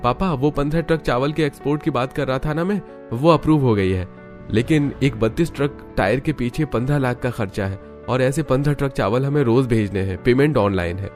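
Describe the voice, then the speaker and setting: Man playing an eager, higher-voiced young businessman son. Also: a little fast, darkish tone, faint music behind, close to an everyday voice.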